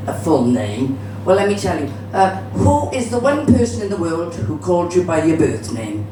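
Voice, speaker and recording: A woman's voice: fairly low pitch, big pitch swings, moderate pace. A middle-aged woman with a Cardiff Welsh accent performing live stand-up comedy in a chatty, bawdy manner, swearing freely. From Cardiff, Wales. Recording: roomy, distant, noisy live venue recording.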